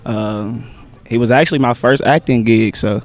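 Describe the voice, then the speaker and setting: A young man, a stage actor, answering interview questions in a relaxed, slangy way with many pauses and "um"s. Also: medium pitch. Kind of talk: casual interview chat.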